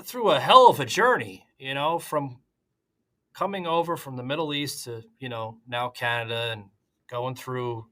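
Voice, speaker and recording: A man's voice: mid-range voice, normal speed, bright, forceful tone. A man hosting a podcast interview, asking casual, rambling questions. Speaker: podcast host.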